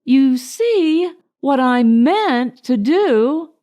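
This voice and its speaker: A woman's voice: high voice, lively intonation, very slow, warm tone, heavily acted. A woman voicing a simple, honey-loving male bear in a storybook, musing earnestly and ponderously. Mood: flustered.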